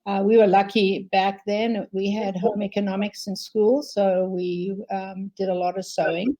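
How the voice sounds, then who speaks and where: An older woman, a quilt designer, answering interview questions thoughtfully with frequent pauses and ums over a video call. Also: medium pitch, little pitch movement, dark tone, muffled recording. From South Africa.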